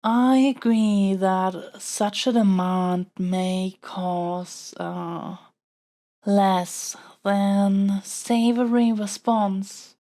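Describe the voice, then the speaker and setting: A woman's voice: mid-range voice, level tone, drawn-out words, warm tone. A woman playing a young royal princess in an audio roleplay, speaking in a formal, stately, politely pleading manner. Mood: coaxing, diplomatic. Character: young royal princess, haughty yet smitten, kidnapped by dragon. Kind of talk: scripted audio roleplay acting.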